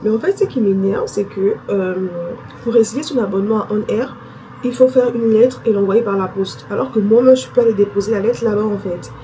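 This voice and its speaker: A woman's voice: medium pitch, brisk, mellow, slight background noise, poor audio. A young woman chatting casually to her viewers, thinking aloud with fillers. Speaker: vlogger. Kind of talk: casual vlog chat to camera.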